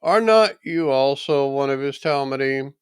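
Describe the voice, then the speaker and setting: A man voicing the accusing officers and servants of a high priest in a scripture reading, indignant, with a sharp, raised voice. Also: expressive, measured pace, clean tone, no room sound, lightly acted.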